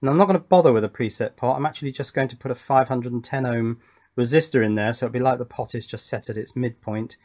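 A man's voice: medium pitch, quick pace, a little mellow. A man hosting an electronics build video, giving casual hands-on commentary and thinking aloud as he works. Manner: thinking aloud while working. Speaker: host of an electronics build channel. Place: indoor workbench.

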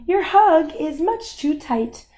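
A woman voicing a small complaining baby bug from a storybook, exclaiming loudly. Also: sits high, lively intonation, slow pace, voice pushed up. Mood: squeezed, protesting.

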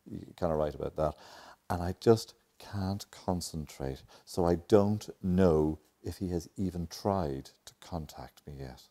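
A man's voice: sits low, measured pace, mellow. An Irish man in his forties performing a dramatic book reading. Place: indoor lounge, seated.

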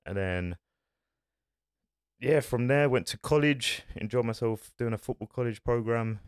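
A man's voice: mid-range voice, expressive, measured pace, clean tone, no room sound. A young man, a podcast guest, chatting casually and loosely about his past in a small studio, on a desk mic. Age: young adult. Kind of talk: casual chat. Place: small studio with acoustic foam panels. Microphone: desk-mounted podcast mic.

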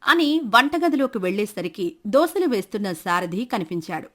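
A woman's voice: medium pitch, big pitch swings, normal speed. A woman narrating a scripted moral story as the storyteller.

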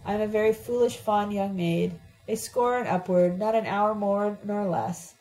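A woman's voice: mid-range voice, steady tone, moderate pace, warm tone, close to an everyday voice, low-quality recording. A woman voicing an intense, theatrical young woman who declaims dramatically in an audiobook. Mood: theatrical pleading.

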